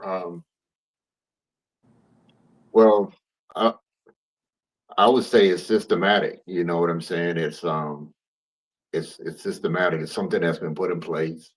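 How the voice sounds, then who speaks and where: A very deep-voiced man on a video call, joining a panel as a guest. He talks hesitantly, with many fillers and repeated words. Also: fairly even intonation, a little slow, pauses often, low-quality recording. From Bahamas. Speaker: panelist, visual artist. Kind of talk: casual panel discussion.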